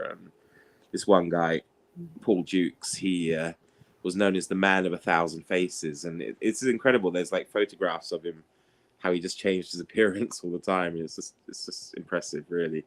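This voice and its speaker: Casual male talker, low register, loose anecdotal delivery for an informal book discussion. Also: fairly even intonation, moderate pace. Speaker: participant in an online book discussion. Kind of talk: casual chat, anecdotal storytelling.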